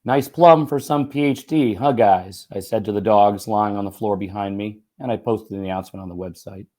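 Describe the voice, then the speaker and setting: Hoarse man reading fiction aloud. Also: on the low side, moderate pace, darkish tone. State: slightly hoarse from a cold. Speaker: writer and teacher reading own short story. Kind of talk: literary reading of first-person fiction.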